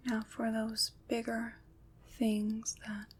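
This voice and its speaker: A woman playing a kind, soothing witch in a gentle, breathy ASMR whisper, pausing between phrases to reassure. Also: fairly high pitch, completely flat delivery. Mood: gently encouraging. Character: kind, soothing witch comforting someone troubled by nightmares. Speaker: ASMR performer. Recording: clear background noise.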